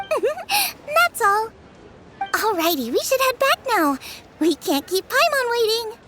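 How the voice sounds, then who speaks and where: A woman's voice: very high voice, big pitch swings, takes it slowly, bright, forceful tone, a little hiss or hum, heavily acted. A woman voicing a cheerful, friendly young female chef in an anime-style video game. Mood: friendly, eager.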